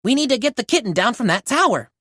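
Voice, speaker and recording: Boy rescue leader, read by a woman: confident, encouraging, high-pitched, animated storybook delivery. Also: fast, breathy edge, no echo, clean studio sound, heavily acted. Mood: commanding. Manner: encouraging, giving orders.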